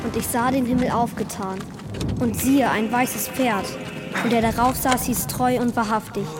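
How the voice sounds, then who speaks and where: A woman as a solemn scripture reciter in a radio play. The voice is fairly high and declaims apocalyptic verses beneath loud music. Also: fairly even intonation, normal speed, close to an everyday voice.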